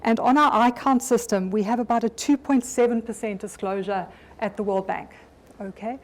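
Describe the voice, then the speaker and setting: A middle-aged South African woman speaking at a conference, her voice sitting a little high. She talks in an easy, conversational manner, stopping to restart her sentences now and then. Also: normal speed. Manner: conversational, with frequent ums and restarts. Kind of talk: conference presentation. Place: conference room.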